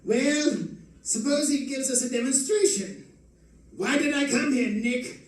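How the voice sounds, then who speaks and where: Man playing a suave high-voiced radio villain. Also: unhurried. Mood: gloating. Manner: silky, taunting, mock-courteous, chuckling. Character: suave, mocking male escaped-convict villain with a gang. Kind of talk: old-time radio crime drama acting. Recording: echoey live stage, distant mic, faint noise.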